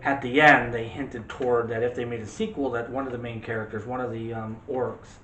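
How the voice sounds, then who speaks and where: A man's voice: medium pitch, little pitch movement, a little fast, fairly bright tone. A man talks movies as a casual co-host, bantering and joking as he goes. His mid-range voice sits in a roomy, echoey space with a little noise behind it. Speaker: co-host of a movie discussion show. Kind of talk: casual chat about movies. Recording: roomy echo with light background noise.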